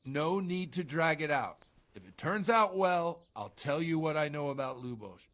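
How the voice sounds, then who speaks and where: An actor playing a hungover, bawdy medieval village priest in a video game, rambling and dramatic with groans. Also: male voice, on the high side, animated, normal speed, a bit bright. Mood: brisk, insistent. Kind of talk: video game cutscene acting.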